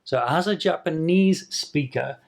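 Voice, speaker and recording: A man's voice: on the high side, a little slow, vocal fry. A man teaching English pronunciation to camera in a patient video lesson, demonstrating sounds and repeating them.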